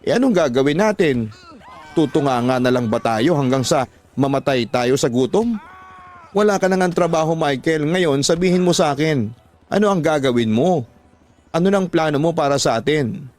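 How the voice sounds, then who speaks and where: A man's voice: a little high, expressive, normal speed, a little mellow, lightly acted. A man voicing a defensive, desperate wife in a radio drama. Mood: defensive, challenging. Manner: defensive, challenging. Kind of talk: Tagalog radio drama acting.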